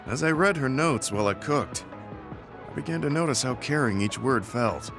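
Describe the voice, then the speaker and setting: A man playing a middle-aged, guilt-ridden veteran soldier in a video game, speaking in a measured, earnest way. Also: medium pitch, animated, close to an everyday voice. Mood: gentle, reflective. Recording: studio voice under loud game music.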